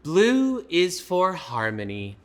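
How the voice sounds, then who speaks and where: A man's voice: a little high, huge pitch swings, takes it slowly. A man co-hosting a children's storytime, reading aloud emphatically and addressing young listeners directly.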